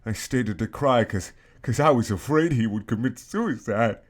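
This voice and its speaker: A man giving a comedic dramatic reading of a story in a halting, mock-serious way. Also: medium pitch. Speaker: reader.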